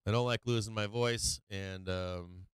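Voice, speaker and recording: A man hosts a live trading stream with a loose, profane, filler-heavy manner. His voice is strikingly clear and smooth, though it has been wearing out this week. The sound is dry and close, with no room around it. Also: lowish voice, steady tone, a little slow, dry recording. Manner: loose and profane, many fillers, laughs often. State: losing his voice. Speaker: stock market livestream host. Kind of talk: casual trading commentary.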